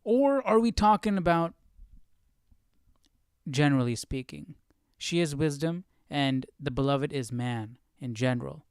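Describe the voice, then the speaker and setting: A man's voice: on the high side, expressive, measured pace, clear, resonant tone, no room sound, clean studio sound. A man hosting a live stream with casual, informal commentary, musing aloud and repeating phrases as he thinks. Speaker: host of a live Bible study stream.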